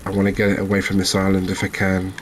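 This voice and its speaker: Low, even-voiced man doing cozy game commentary. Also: fast, slight background noise. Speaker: gaming channel host.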